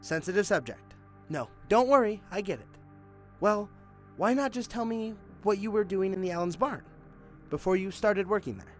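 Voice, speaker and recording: An actor playing a sarcastic detective who taunts a suspect in a mocking, drawn-out way. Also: male voice, high voice, animated, faint music behind. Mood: needling.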